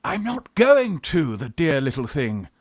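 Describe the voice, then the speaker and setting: A man's voice: a little high, sing-song, a little slow, husky, no room sound, studio quality, full character voice. An actor playing a young man posing as a genteel aunt in a Victorian farce, flustered, coy and haughty. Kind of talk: Victorian farce radio acting.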